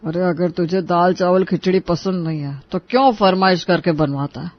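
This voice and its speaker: Man acting high-voiced put-upon elder brother. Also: moderate pace, muffled recording, close to an everyday voice. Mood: probing. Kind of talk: dramatic Hindi cartoon dialogue.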